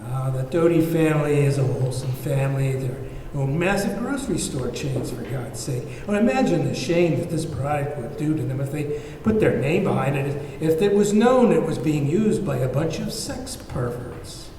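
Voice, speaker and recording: A man playing a smug, self-righteous hired kidnapper with a condescending, measured delivery in a script table read. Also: on the high side, animated, mellow, natural voice. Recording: very echoey, distant, faint noise.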